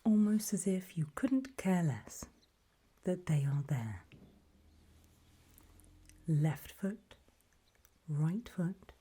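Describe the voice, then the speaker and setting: Breathy, slow whispering woman. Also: on the low side, animated. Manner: slow, deliberate, hushed, pausing between short phrases. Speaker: ASMR roleplay host. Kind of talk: whispered ASMR roleplay narration, guiding the listener.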